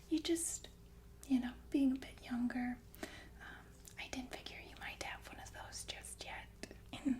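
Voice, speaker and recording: Sinister ASMR nurse , acted by a woman: high-pitched, whispered, halting, soothing on the surface, casually menacing. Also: a little mellow, natural voice. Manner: slow, halting, casually polite about menace. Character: softly menacing killer nurse, falsely soothing. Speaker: ASMR performer. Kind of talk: whispered ASMR roleplay, addressed to listener.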